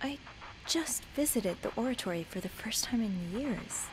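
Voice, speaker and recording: A woman's voice: a little high, lively intonation, normal speed, natural voice. Young female hotel maid, acted by a woman, curious and anxious, natural mystery-game dialogue, hesitant delivery, faint noise behind. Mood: musing.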